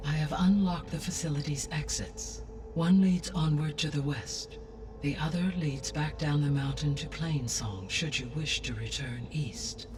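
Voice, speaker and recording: An actor voicing a calm, benevolent artificial intelligence in a video game, speaking formally and precisely in measured phrases. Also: medium pitch, far from the mic, over music, natural voice. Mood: composed. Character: calm, benevolent female-named artificial intelligence overseeing Earth. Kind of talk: formal scripted game dialogue.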